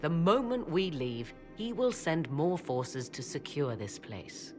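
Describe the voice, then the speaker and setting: An actress playing a haughty, cunning witch in a fantasy game, with a theatrical, disdainful and deliberate delivery. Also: sits low, big pitch swings, over music, exaggerated voice. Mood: persuasive. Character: haughty, cunning witch adviser, scornful and tempting. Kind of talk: dramatic fantasy game acting.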